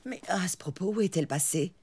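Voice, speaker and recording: A woman's voice: fairly low pitch, animated, fast talker, very noisy. A woman voicing a fussy, doting elephant grandmother in a cartoon, with a theatrical, exclaiming, scolding manner. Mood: exasperated. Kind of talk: animated cartoon dub acting. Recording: music behind the voice.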